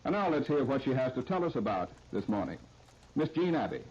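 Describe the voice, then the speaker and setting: An American man announces in scripted 1930s radio style. His voice is middle-pitched and somewhat rough and husky. The sound is dull and lo-fi, like an old disc recording. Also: sing-song, fairly quick, darkish tone. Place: radio studio.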